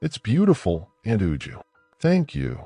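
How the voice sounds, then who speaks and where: A man's voice: medium pitch, wildly swooping pitch, measured pace, gravelly, husky, no room sound, faint music behind, heavily acted. A man voicing an earnest young bridegroom in a folktale. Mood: pleased. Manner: earnest, hushed when doubtful. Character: young bridegroom, admiring, later quietly suspicious.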